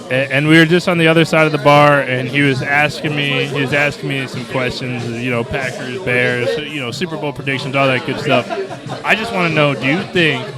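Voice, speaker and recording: A man's voice: medium pitch, steady tone, fairly quick. A man from Wisconsin hosting a podcast, bantering and joking with strangers in a crowded dive bar. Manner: joking, teasing, repeats himself. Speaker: podcast host. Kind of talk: casual bar chat. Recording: noisy bar with music and crowd. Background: music and crowd chatter.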